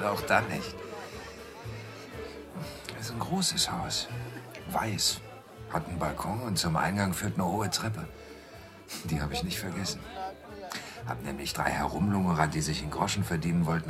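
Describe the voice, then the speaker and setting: An actor playing a rough, sly working-class London carter who rambles colloquially and deferentially in a radio drama. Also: male voice, sits low, unhurried, long pauses, over music. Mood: chuckling, reminiscing. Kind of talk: German radio drama acting.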